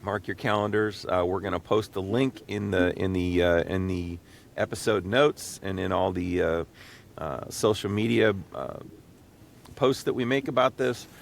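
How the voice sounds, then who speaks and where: A man hosting a casual podcast interview, speaking in a halting, folksy way with frequent restarts. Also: gravelly. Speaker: podcast host. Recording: low rumbling background noise.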